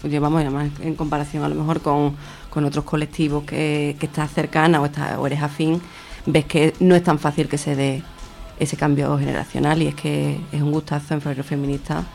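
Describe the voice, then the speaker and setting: Female guest, accent from Spain, creaky voice, fairly even delivery, colloquial radio chat. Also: low-pitched, a little fast, soft background music. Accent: Spanish from Spain. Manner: informal, colloquial. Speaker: interview guest, feminist festival organiser. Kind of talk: casual radio interview answers.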